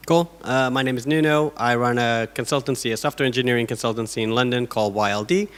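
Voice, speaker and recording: A man's voice: mid-range voice, fast talker, smooth. A man with a Portuguese accent giving an informal introduction as a panelist. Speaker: panelist, consultancy head. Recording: reverberant conference hall.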